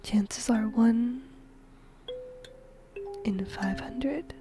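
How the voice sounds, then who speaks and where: A woman playing a whimsical death god in a whispered ASMR roleplay, gentle, musing and playful, breathy and close to the microphone. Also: a little high, extremely slow, soft background music. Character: whimsical female shinigami death god fond of humans.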